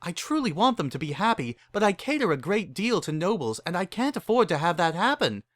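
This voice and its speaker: A narrator voicing a refined, earnest female pony with a genteel, measured and composed manner. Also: mid-range voice, sing-song, a bit bright, no echo, pitched above the performer's own voice. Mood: worried, apologetic.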